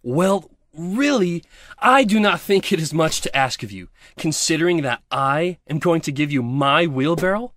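A man's voice: fairly high pitch, expressive, moderate pace, fairly bright tone. A man playing a self-important, selfish miller who preaches about friendship in a pompous manner, in an audio storybook drama. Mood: pompous, preachy. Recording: faint background noise.

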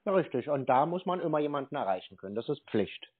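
Male caller, mid-pitched, colloquial and stubbornly direct, heard through thin phone-line audio. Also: sing-song, fast, breathy edge. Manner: insistent, blunt, colloquial. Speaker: caller confronting a call centre. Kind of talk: phone conversation. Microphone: telephone line. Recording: tinny, narrow-band phone call recording.

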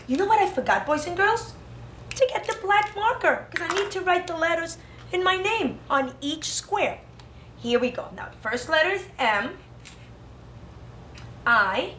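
A woman's voice: sits high, sharp tone. A woman in her thirties teaching a craft lesson to young children, in a sing-song, exclamatory way. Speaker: preschool teacher. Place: indoor preschool classroom. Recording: roomy classroom echo, faint background noise.

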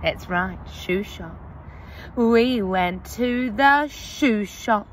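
A young woman teacher, reading a picture book aloud to young children in a slow, exaggerated, sing-song way, recorded outdoors on a phone. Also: medium pitch. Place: outdoors under trees.

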